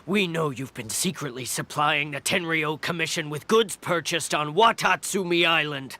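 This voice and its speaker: An actor voicing a stern, zealous guard in a video game, interrogating in a formal, forceful way. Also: male voice, fairly high pitch, normal speed. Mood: accusing, angry. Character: stern, zealous male island guard, accusatory.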